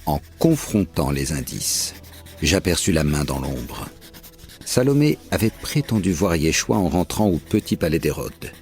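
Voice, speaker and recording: An actor playing a proud, methodical Roman prefect narrating his investigation in measured, literary French. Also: a bass voice, big pitch swings, darkish tone, exaggerated voice. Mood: measured recounting. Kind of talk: scripted first-person drama narration.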